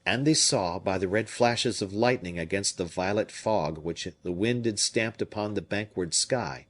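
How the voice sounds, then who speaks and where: A man narrating an audiobook with expressive storytelling. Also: on the low side, measured pace. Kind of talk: scripted narration.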